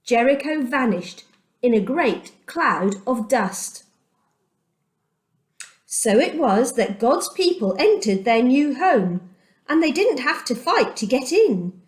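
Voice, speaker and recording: A woman reading aloud to children as a storyteller, with deliberate, dramatic emphasis and pauses. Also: high voice, very animated. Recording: echoey room.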